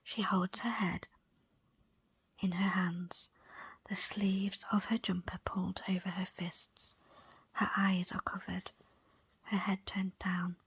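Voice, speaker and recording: Woman, ASMR audiobook reader, very breathy whisper, crackly edge, unhurried phrasing, intimate dry sound. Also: mid-range voice, little pitch movement. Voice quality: soft, breathy, unvoiced whisper. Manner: slow, deliberate, pausing between short phrases. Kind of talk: ASMR whispered book reading. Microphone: close, dry microphone.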